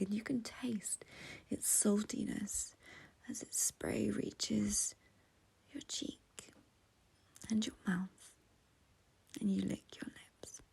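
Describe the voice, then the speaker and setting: A woman leading a guided meditation in a soft, breathy, whispery voice, gentle and soothing, with long pauses. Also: mid-range voice.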